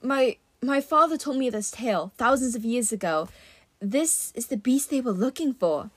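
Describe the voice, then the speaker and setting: A solemn, ageless huntress from a fantasy storybook, played by a woman. She speaks formally and portentously, with a high, expressive pitch in a very dry recording. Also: moderate pace, husky, dry recording. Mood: grave. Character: solemn, ancient huntress girl, formal and grave.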